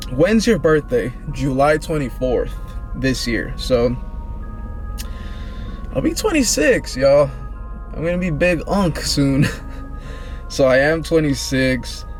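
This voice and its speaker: A man in his twenties, vlogging in a relaxed, rambling, slangy way, answering questions about himself. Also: medium pitch. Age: 20s. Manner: laid-back, rambling, slangy. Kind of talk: casual get-to-know-me chat.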